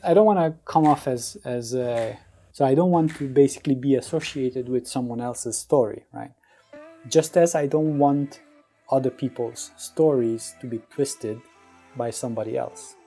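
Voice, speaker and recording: A man's voice: medium pitch, moderate pace, dark tone, breathy edge, faint music behind. A man from Romania hosting a vlog, talking casually and at length to viewers, emphatic and repeating his phrases, often checking in with a tag question. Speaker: vlog host. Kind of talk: casual, rambling monologue to viewers.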